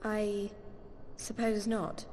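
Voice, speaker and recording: An actress playing an earnest, plain-spoken young heroine in a video game cutscene, thoughtful and resolute. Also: female voice, mid-range voice, completely flat delivery, extremely slow, a little mellow, a little hiss or hum. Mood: doubtful, pensive.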